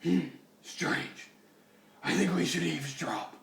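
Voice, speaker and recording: A man's voice: fairly high pitch, animated, slow pace, vocal fry, fairly bright tone, heavily acted. A man voicing a grim masked vigilante detective in a terse, melodramatic way for a fan fiction reading. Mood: grave. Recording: echoey room, distant noisy mic.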